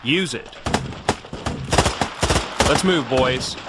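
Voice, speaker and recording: A man playing a tough, steady army major in an audio drama, giving clipped, commanding lines. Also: medium pitch, extremely slow. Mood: urgent command. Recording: noisy battlefield sound effects behind.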